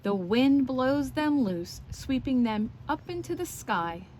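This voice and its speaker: A woman's voice: sits high, big pitch swings, slow, darkish tone, slight background noise, poor audio. A woman reading aloud and showing things to young children, explaining as she goes and putting questions to her listeners. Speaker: children's story time teacher and reader. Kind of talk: read-aloud and show-and-tell for young children.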